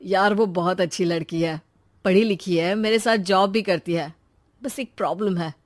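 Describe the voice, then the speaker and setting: A woman voicing a soft-spoken gentle young brother. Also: medium pitch, steady tone, normal speed, close to an everyday voice. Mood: shy, low. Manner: soft, hesitant, confiding. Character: earnest young man, gentle trusting brother. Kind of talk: animated storybook voice acting.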